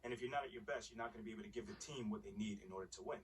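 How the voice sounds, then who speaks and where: An actor playing a confident, easygoing young pro basketball player in a video game cutscene, with laid-back, slangy delivery. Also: male voice, on the low side, rapid-fire, breathy edge, far from the mic. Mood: composed, confident. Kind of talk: naturalistic game cutscene dialogue.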